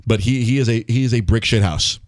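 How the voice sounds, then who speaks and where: A man hosting a casual podcast chat, talking in an animated way, swearing, laughing and stumbling over his words. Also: mid-range voice, a little fast, dry recording, clean studio sound. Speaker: podcast host.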